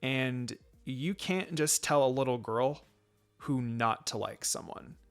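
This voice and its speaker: A man's voice: mid-range voice, slow, faint music behind. A man voicing a blunt, protective young ninja woman in a fan fiction reading. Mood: firm.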